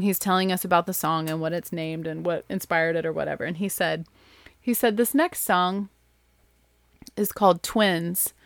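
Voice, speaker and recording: Casting: woman, lowish voice, rambling casual storyteller, dry close sound. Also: no room sound. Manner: chatty, rambling, with fillers and laughs. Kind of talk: casual recap of an author event.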